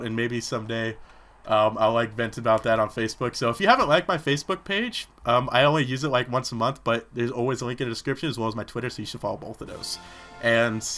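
A man's voice: mid-range voice, fairly bright tone. A young man giving casual, rambling let's-play commentary, chatting between battles with a sore throat. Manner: rambling, chatty, with many fillers. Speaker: gaming commentator.

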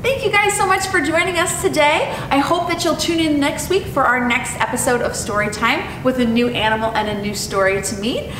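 A young woman presenting as a zoo educator and reading to children in an expressive, animated way. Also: brisk, few pauses. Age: young adult. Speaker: zoo educator and storytime host. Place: indoor room with painted animal mural. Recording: echoey, distant mic with clear rumbling noise.

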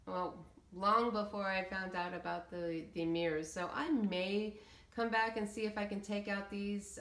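A woman giving a casual, hesitant, meandering walkthrough commentary of a game build. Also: medium pitch. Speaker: video creator showing game settlement builds. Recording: roomy, echoey room sound.

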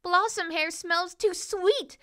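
A tiny storybook princess made of paper, played by a woman, with a thin, very high voice. She is plaintive but brave, pleading in bright, swooping tones. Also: slow pace, full character voice. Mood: musing, wistful. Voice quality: thin, squeaky voice. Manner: pleading, exclaiming. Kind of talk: children's storybook character voice.

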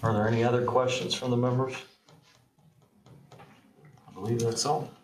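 A man's voice: medium pitch, little pitch movement, normal speed. A man chairing a county council meeting, moving the agenda along briefly and procedurally. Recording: echoey room, distant mic, hiss.

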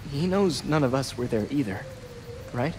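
An actor playing an earnest, studious young sidekick hero in a game, sounding slightly unsure of himself. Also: male voice, medium pitch, lively intonation, moderate pace, darkish tone, a little hiss or hum, natural voice. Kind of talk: cinematic game dialogue.

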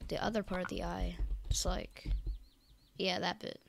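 A teenage girl with a croaky voice from being sick, casually narrating a game build tutorial with rambling, hesitant self-corrections. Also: on the low side, little pitch movement, unhurried, a little hiss or hum. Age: teens. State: croaky from illness.

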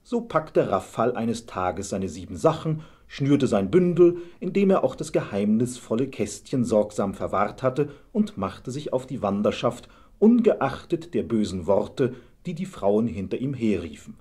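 A man's voice: mid-range voice, very animated, a little slow, dark tone, breathy edge. A man narrating a scripted fairy tale as the storyteller.

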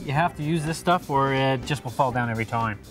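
A man's voice: medium pitch, quick pace, music in the background. A man building a grill in a backyard, bantering playfully. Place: backyard outdoors.

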